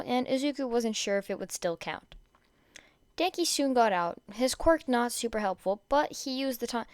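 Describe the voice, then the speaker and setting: Woman, story narrator, expressive reading, smooth clear voice, dry recording. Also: a little high, dry recording. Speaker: fan fiction reader.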